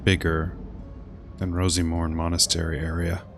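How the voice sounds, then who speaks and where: A man's voice: sits low, monotone, moderate pace. A man giving casual, terse gameplay commentary as he streams a role-playing game, muttering asides. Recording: game music behind the voice. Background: video game music.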